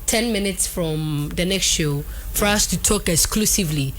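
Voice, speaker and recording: A woman's voice: lowish voice, normal speed, faint music behind. A woman with a Ghanaian accent, talking casually as a radio interview host.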